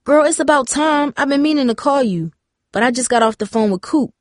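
A woman playing a sassy, gossipy teenage girl in a storybook, chatty and brisk. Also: high-pitched, dry recording, studio quality, voice pushed up, close to an everyday voice.

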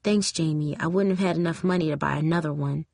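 A woman voicing a nervous but determined teenage girl in a story, soft-spoken. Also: deep voice, fast, vocal fry, no room sound, studio quality. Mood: quiet resolve.

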